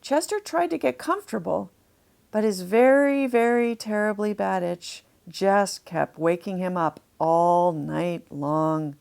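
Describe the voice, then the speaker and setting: A woman narrating a children's storybook aloud, deliberately and expressively, lingering on key words. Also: mid-range voice, vocal fry, darkish tone. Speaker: author reading a children's story.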